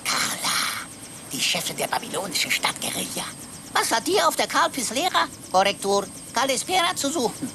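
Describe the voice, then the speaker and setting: A woman's voice: on the high side, wildly swooping pitch, moderate pace, background noise. A woman playing a cheeky little talking computer in a comic radio play, flippant and mock-formal, fussing over words. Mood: excited, cheeky.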